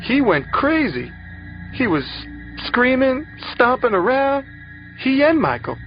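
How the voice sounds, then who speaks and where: A man voicing a frightened, distraught young woman on the witness stand, in a faint girlish voice with halting delivery. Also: mid-range voice, expressive, dull audio, faint music behind, voice pushed up, natural voice. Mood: anguished, tearful. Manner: halting, anguished.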